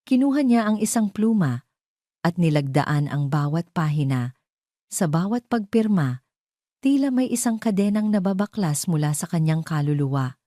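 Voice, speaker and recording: A woman narrating a scripted romance drama as a storyteller, in a measured, solemn manner. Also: fairly low pitch, expressive, warm tone, dry recording, studio quality.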